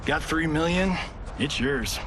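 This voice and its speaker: An actor playing a cocky, wisecracking movie-star fighter in a video game, with an American accent and a flippant, boastful manner. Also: male voice, on the high side, slow, noisy background. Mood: teasing. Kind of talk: cinematic video game acting.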